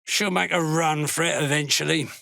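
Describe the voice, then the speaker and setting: A man voicing a gruff, fanatical dark dwarf slave hunter in a video game, gravelly, curt, threatening and full of contempt. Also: fairly high pitch, fairly even intonation, moderate pace, dry recording, clean studio sound, full character voice. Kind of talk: video game character voice lines.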